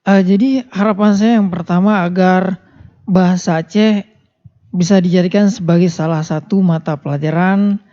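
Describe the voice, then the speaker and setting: A man lays out his points in an orderly, measured way as a radio discussion guest. His voice is mellow and the sound is dull and lo-fi. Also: sits high, fairly even intonation. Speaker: talk show guest.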